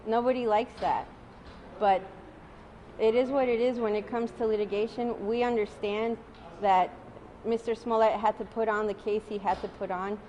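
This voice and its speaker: A tired woman attorney giving a measured statement at a press conference. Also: on the high side, fairly even intonation, warm tone, a little hiss or hum. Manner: measured, deliberate.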